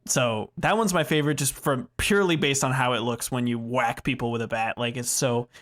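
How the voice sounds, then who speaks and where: Guy podcast co-host talking games, slangy, swears a bit, medium pitch, really casual. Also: brisk, a bit bright. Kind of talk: casual chat about video games.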